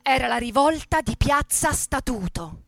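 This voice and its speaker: A woman's voice: sits high, animated, measured pace, gravelly, dry recording. A woman giving an emphatic, declamatory theatrical reading of a scripted monologue. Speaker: stage actress and reader.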